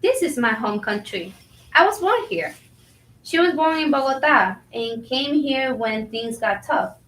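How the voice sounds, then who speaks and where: Young woman acting a restaurant worker, high voice, frank, bilingual, staged reading, echoey room. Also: animated, measured pace, fairly bright tone, slight background noise. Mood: sincere, reflective. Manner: bilingual, switches between Spanish and English. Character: overworked, frank, warm-hearted young Colombian-American restaurant worker. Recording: roomy, distant microphone.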